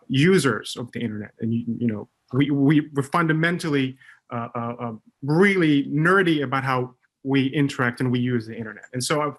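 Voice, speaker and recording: A man's voice: medium pitch, fairly bright tone. A man in his thirties from Morocco, speaking as a guest on a panel webcast, with many fillers and restarts. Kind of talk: casual panel chat.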